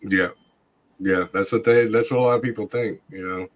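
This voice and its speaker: A man hosting a podcast in casual chat, his voice hoarse and scratchy from a long night of talking. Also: on the low side, fairly even intonation, moderate pace. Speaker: podcast host.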